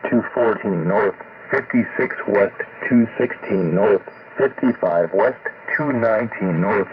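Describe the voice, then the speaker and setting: A man with a lowish voice reads out a marine weather forecast in a mechanical, list-like manner. He speaks very slowly and deliberately. The sound is thin and tinny, like a radio receiver, with clear static hissing behind him. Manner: mechanical, list-like reading of coordinates. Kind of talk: automated marine forecast reading. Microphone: received over shortwave radio.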